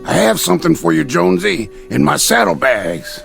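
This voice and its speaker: High-pitched, gravelly, gleefully cruel Western peddler. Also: male voice, sing-song, moderate pace, a bit bright, faint music behind, exaggerated voice. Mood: wheedling. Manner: taunting, sing-song salesman's drawl with cackling. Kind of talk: old-time radio drama acting.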